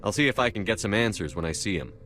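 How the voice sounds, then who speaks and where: A man playing a calm, authoritative military commander in a science fiction video game. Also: on the low side, fast, faint music behind. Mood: calm, firm. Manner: firm, steady.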